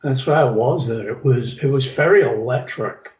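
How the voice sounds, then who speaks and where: A man's voice: mid-range voice, normal speed, a little mellow. A middle-aged man, telling stories from his youth as an interview guest. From England. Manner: storytelling, reflective, with some restarts. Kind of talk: reminiscing interview talk. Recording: echoey, slightly dull recording.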